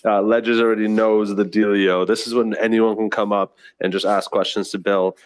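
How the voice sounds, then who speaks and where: A man hosting a live audio call, talking in a relaxed, slangy way. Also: fairly low pitch, moderate pace, smooth. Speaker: host.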